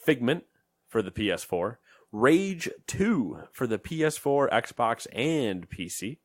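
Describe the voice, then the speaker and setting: A man's voice: medium pitch, big pitch swings, slow, no echo, studio quality. A man co-hosting a gaming podcast in casual chat, rambling and joking, often trailing off into mumbles. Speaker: podcast co-host.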